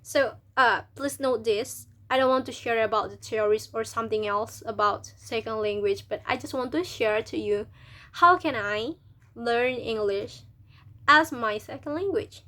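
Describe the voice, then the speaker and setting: A woman's voice: fairly high pitch, measured pace, sharp tone. Chatty young woman with an Indonesian accent. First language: local Indonesian language. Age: young adult. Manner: chatty, hesitant, with many restarts and searching for words. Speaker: university student. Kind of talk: casual video talk to camera.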